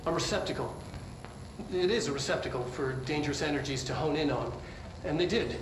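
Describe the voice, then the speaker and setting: An actor playing an eccentric, enthusiastic government scientist lecturing in a theatrical, pedantic way with wry asides. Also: male voice, fairly high pitch, a little fast, lightly acted. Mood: excited, wry. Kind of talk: filmed scientific presentation. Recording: roomy echo with clear background noise.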